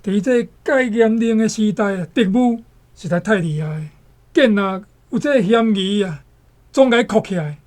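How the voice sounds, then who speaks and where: A woman's voice: mid-range voice, expressive, unhurried, darkish tone, lightly acted. Woman as dramatic Taiwanese-accented radio storyteller.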